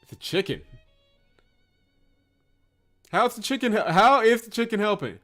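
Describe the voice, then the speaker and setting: A man's voice: high voice, sing-song, fast talker, a bit bright. A man giving casual playthrough commentary with wry, teasing asides and reading narration aloud. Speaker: let's-play host. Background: game music.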